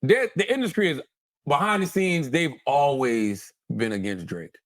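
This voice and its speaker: Higher-voiced emphatic man talking casually. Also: lively intonation, normal speed, no echo. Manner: emphatic storytelling, repeats phrases, swears. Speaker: show host. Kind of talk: animated casual group discussion.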